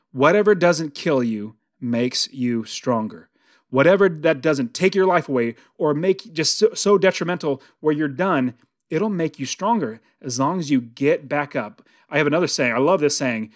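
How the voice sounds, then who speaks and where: A slightly high-voiced American man in his early forties talks like a podcast host coaching his listeners. His delivery is conversational and motivational, with emphatic stress and phrases repeated to drive points home. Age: early 40s. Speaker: podcast host and real estate investing coach.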